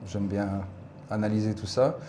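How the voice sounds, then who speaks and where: A man's voice: on the low side, steady tone, moderate pace, dark tone, slight background noise. A man in his forties from Belgium with a Belgian French accent, a guest chatting casually and reflectively in an interview, laughing easily. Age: 40s.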